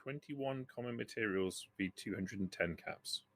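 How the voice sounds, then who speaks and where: A man's voice: fairly low pitch, normal speed, a bit bright, dry recording. A man speaking casually as game master of a tabletop game, explaining and rephrasing as he goes.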